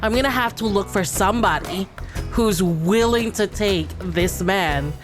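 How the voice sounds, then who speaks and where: A woman's voice: medium pitch, measured pace, over music. Woman casually playing a tabletop game. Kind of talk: casual chat, improvised role-play.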